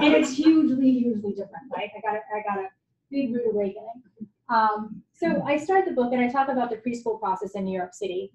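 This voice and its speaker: A woman's voice: medium pitch, a little mellow. Candid woman lecturing in an echoey hall. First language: Japanese. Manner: candid, conversational, self-deprecating. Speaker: guest lecturer. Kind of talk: university lecture talk. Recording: echoey hall, dull lo-fi sound.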